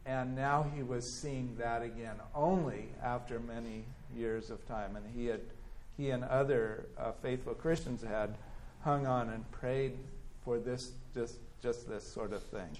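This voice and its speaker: A man's voice: medium pitch, fairly even intonation, measured pace, hardly any pauses, darkish tone, poor audio. A man leads a church class, reading from a biography and stopping to comment. His mid-range voice jumps up when he acts out quoted lines, and he talks with plenty of restarts and fillers. A faint hiss sits behind the recording. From California. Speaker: Bible teacher.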